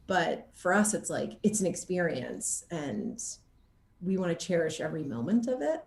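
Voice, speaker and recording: A woman actor chatting casually in an interview and telling anecdotes in an animated, laughter-prone way. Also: lowish voice, moderate pace, reverberant, a little hiss or hum.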